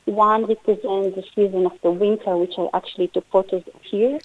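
A middle-aged woman from Israel with an Israeli accent, a native Hebrew speaker answering radio interview questions in halting English with restarts. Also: mid-range voice, steady tone, no echo. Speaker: guest artist. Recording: tinny phone line.

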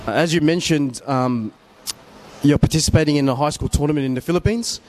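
A man's voice: on the high side, a little fast, darkish tone. A man hosting a radio sports interview, putting prepared questions to a guest. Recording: faint background noise.

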